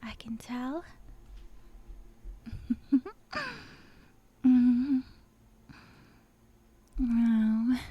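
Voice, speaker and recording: A woman voicing a possessive, dominant yandere captor in an ASMR roleplay, breathy and often whispering, sweetly menacing and coy, close to the microphone. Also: sits high, dark tone, heavily acted. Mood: breathless, teasing. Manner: slow, intimate, teasing, coy.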